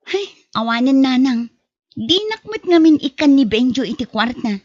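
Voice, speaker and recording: A fed-up wife and mother acted by a woman in an audio drama, with a very high, plaintive, nagging voice. The recording is dull and lo-fi. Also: lively intonation, moderate pace, darkish tone. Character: fed-up, aggrieved wife and mother of young children. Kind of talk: amateur audio drama acting.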